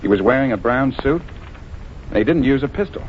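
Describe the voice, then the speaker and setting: Male actor as brisk G-man, quick delivery, businesslike, vintage radio crime drama, dull hissy recording. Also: medium pitch, lively intonation, lightly acted. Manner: clipped, matter-of-fact reporting. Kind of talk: 1940s radio drama acting. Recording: muffled, noisy old radio recording.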